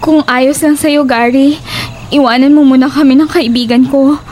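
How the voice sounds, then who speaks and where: Horror radio play: woman as anxious, protective young female friend, high voice, insistent and distrustful, slight noise behind. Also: fairly even intonation, moderate pace, a little mellow, lightly acted. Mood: wary, insistent. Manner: pleading, insistent. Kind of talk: Tagalog horror audio drama acting.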